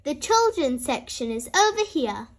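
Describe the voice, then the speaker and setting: Woman as a high-voiced lady rabbit librarian. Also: big pitch swings, unhurried, exaggerated voice. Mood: helpful. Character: polite, helpful female rabbit librarian. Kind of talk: children's storybook read-aloud.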